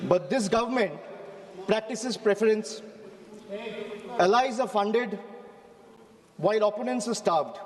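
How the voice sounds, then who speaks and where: A man with an Indian English accent giving an oratorical parliamentary speech from a desk microphone, using rhetorical repetition and measured emphasis. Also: high-pitched, darkish tone, long reverb tail. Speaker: member of parliament. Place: large parliamentary chamber.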